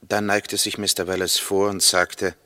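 Ordinary witness giving testimony, 1960s radio drama: lowish, forceful, factual, sober. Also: male voice, level tone, a little slow, no room sound. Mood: sober recounting. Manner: factual, step-by-step. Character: male witness, plain neighbor soberly recounting a grim discovery. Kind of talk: 1960s German radio play acting.

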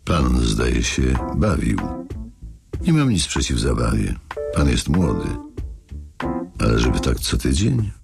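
An actor in a radio drama playing a menacing, philosophical older man who speaks with slow, polite needling. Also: huge pitch swings, full character voice. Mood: menacing, musing. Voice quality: very deep gravelly voice. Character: menacing older married man with a grown daughter, coolly philosophical. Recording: studio radio play with music underneath.

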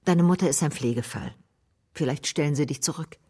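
Weary, very breathy ailing mother. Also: deep voice, fairly quick, warm tone. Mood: probing, weary. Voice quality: very breathy, weak, husky. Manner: weary, plaintive. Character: ailing, bedridden mother, weary, plaintive, resigned. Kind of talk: naturalistic German radio drama acting.

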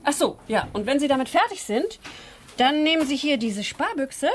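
A woman playing a brisk, bossy and chatty middle-aged tax office clerk. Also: high-pitched, big pitch swings, noisy background.

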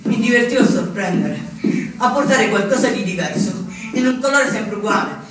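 An actor playing a rebellious young anarchist in an impassioned, insistent theatrical monologue. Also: male voice, extremely high pitch, lively intonation, normal speed. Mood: mischievous, reminiscing. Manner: impassioned, insistent, with emphatic repetitions. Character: rebellious young male anarchist from southern Italy, defiant outsider. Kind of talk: theatrical first-person monologue. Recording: echoey distant stage recording with music.

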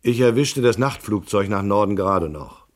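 An actor playing a hard-boiled, laconic detective in a noir radio drama, narrating in a terse, deadpan way. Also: male voice, fairly low pitch, normal speed, natural voice. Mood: dry, weary. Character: hard-boiled male private detective, laconic and world-weary.

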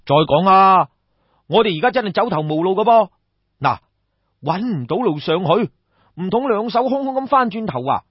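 A man's voice: sits high, animated, moderate pace, husky, no echo, dull audio. A man with a Cantonese accent voicing a brash, crafty young schemer, exclamatory and coaxing, in a storybook tale. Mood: coaxing, insistent.

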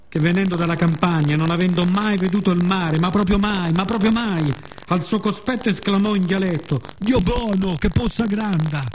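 A man telling a story as a radio theatre monologue, expressive, in short phrases with dramatic pauses. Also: a little high, slight background noise. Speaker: author and performer of a monologue.

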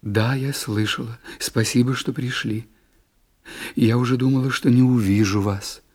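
A man voicing a remorseful young princess in a literary audiobook, speaking quietly, haltingly and with gentle insistence. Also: mid-range voice, fairly even intonation, husky. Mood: wistful remorse.

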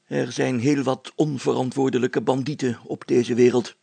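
A pompous high-pitched village mayor, gravelly. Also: male voice, flat delivery, normal speed, warm tone, no room sound. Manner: pompous, formal, stately wording. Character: pompous, solemn, overcautious male village mayor.

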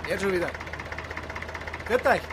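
An actor playing a breezy newlywed husband in a radio drama, chatting colloquially in a Bavarian accent. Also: male voice, high voice, unhurried. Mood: hurried. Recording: noisy background, idling engine.

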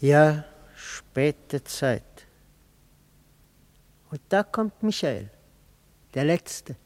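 An actor playing a melancholy, reproachful hunchback, solemn and brooding, in a poetic radio drama. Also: male voice, a little high, animated, very slow, a little mellow, no echo.

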